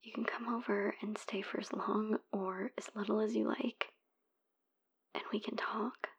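A woman playing a heartbroken young woman who leaves a hushed, pleading voicemail for her ex, swearing in frustration. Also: medium pitch, little pitch movement, unhurried, creaky, husky. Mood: soft pleading. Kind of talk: whispered audio roleplay voicemail.

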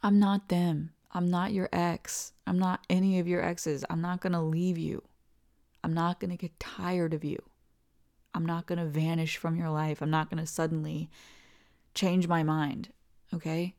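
A woman voicing a tender, devoted young girlfriend in an ASMR roleplay, soft and reassuring and often slipping into whispers. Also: on the low side, flat delivery, a little slow.

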